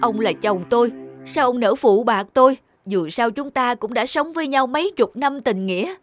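A woman plays a stubborn middle-aged village wife in a cartoon. Her voice is fairly high, scolding and insistent, with music behind it. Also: expressive, moderate pace, natural voice. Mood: indignant. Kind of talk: cartoon voice acting.

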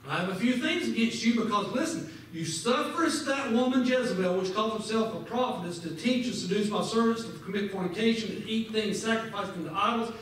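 A man's voice: high-pitched, brisk, few pauses, fairly bright tone. A man teaching a Sunday school lesson, fervently quoting scripture and urging his listeners directly, in a large church sanctuary. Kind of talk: preaching Bible lesson. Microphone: far camera at back of pews. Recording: echoey sanctuary from far off, faint noise.

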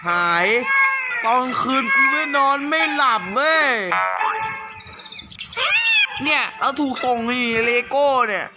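A man's voice: very high voice, lively intonation, a little slow, loud music behind the voice. A man voicing foul-mouthed, hot-tempered cartoon battle animals in an amateur comic dub, swearing and aggressive. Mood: grumpy, rowdy.

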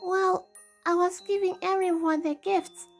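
Very high-pitched lovestruck hedgehog girl, voiced by a woman. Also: little pitch movement, measured pace, soft background music. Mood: gentle. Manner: girlish, gushing, theatrical. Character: sweet lovestruck young girl hedgehog, dramatic and hopeful. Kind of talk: amateur comic dub voice acting.

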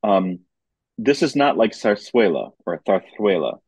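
A man's voice: lowish voice, big pitch swings, unhurried, darkish tone, slightly rough, no room sound. A man chatting casually as a guest commentator on an arts podcast. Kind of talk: casual chat.

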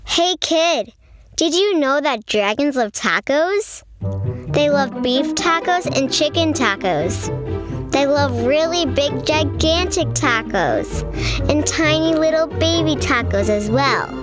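A woman reading a picture book aloud to young children in an expressive storytelling manner. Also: high-pitched, takes it slowly. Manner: expressive, addressing young listeners. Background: music.

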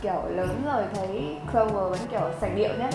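A woman's voice: medium pitch, fast talker. A woman, chatting casually and playfully in a guessing game. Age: 20s. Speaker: contestant in a cartoon guessing game. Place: indoor studio, seated at a laptop. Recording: roomy echo, music behind.

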